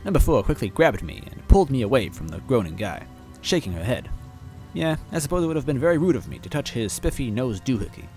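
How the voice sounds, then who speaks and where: A man reading a story aloud in first person, with deadpan, mock-earnest narration. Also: medium pitch, fast, soft background music. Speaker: story reader.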